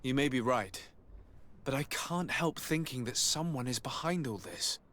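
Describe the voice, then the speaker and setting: A man voicing an earnest, principled noble envoy in a video game, speaking in a measured, formal, serious way. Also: mid-range voice, animated, a bit bright. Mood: concerned. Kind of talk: dramatic video game acting.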